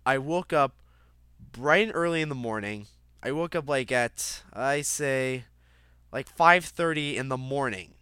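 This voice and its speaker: A man's voice: mid-range voice, sharp tone, clean tone, no room sound, clean studio sound. A young man hosting his own podcast, talking casually with lots of fillers and restarts, congested from a cold. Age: young adult. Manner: rambling, many fillers and restarts. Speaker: podcast host. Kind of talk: casual solo podcast monologue.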